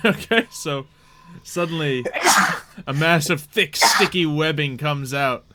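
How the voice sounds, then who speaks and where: The guy behind the screen at a friends' fantasy game night, bright-voiced and easygoing, describing the scene and chuckling. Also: on the high side, slow pace. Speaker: game master. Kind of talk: narrating a tabletop roleplay game, casual.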